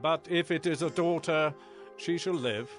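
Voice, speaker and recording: A man's voice: a little high, unhurried, faint music behind, close to an everyday voice. A man playing a stern, commanding king of Egypt in dramatized scripture audio, declaiming imperiously.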